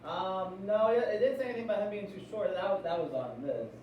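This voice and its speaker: A man's voice: high-pitched, animated, fairly quick, lo-fi sound. An American man speaking as a teacher in a classroom, reading aloud and explaining, often checking in with questions. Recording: very echoey room, distant mic, noisy.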